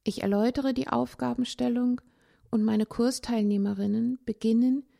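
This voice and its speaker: A woman reading a literary essay aloud in a measured, contemplative way, with pauses between phrases. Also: a little high, fairly even intonation, vocal fry, warm tone. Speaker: narrator, language teacher.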